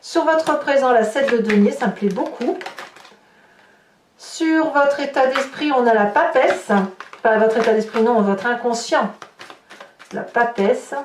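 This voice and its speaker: A woman's voice: a little high, unhurried, slight background noise. Female tarot host, improvised guidance delivery, distant echoey recording. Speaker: tarot reader, host of horoscope guidance videos. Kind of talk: unscripted card reading addressed to viewers. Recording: roomy echo, distant microphone.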